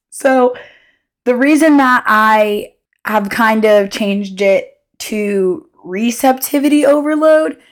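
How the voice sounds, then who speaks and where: Candid, rambling young woman podcasting. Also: medium pitch. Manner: rambling, self-interrupting, candid. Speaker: podcast host. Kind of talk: casual unscripted solo podcast rant.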